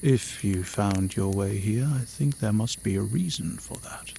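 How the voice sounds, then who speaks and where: Man playing a slow, gentle fantasy mage. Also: lowish voice, vocal fry, slight background noise. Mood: gentle, reassuring. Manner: soft-spoken, unhurried, muttering to himself at times. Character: gentle, absent-minded male mage, hospitable forest dream keeper. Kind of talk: ASMR fantasy roleplay.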